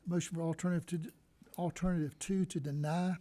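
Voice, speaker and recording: A soft-voiced man chairs a public board meeting, moving between formal procedure and informal remarks into a meeting microphone. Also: fairly high pitch, fairly even intonation, brisk.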